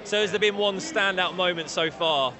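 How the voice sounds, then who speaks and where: A man's voice: sits high, normal speed. A man interviewing fans in a casual, jokey way, teasing and laughing easily. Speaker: roving interviewer. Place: outdoor fan zone. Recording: loud crowd outdoors.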